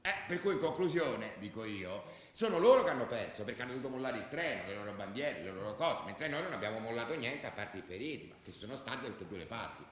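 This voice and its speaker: A man's voice: medium pitch, big pitch swings, fast talker, hardly any pauses, natural voice. A male actor playing a gritty, proud football ultra, telling the tale emphatically in radio-drama style. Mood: smug. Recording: very echoey room.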